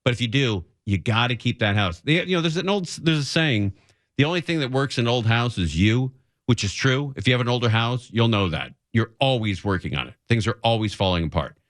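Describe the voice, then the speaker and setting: A male radio host speaks off the cuff in a mid-pitched voice with a dry, close studio sound. He is conversational and opinionated, and he often repeats himself to make a point. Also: lively intonation, brisk, fairly bright tone, no echo. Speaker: radio talk show host.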